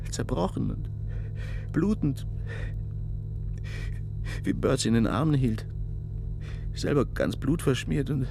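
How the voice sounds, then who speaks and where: A shell-shocked police detective in a radio crime play. He is overwhelmed and halting, circling back on his own words in a mid-pitched voice, with faint music behind. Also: creaky. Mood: anguished, overwhelmed. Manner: halting, repeating himself, emotionally overwhelmed. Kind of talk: German radio crime drama acting.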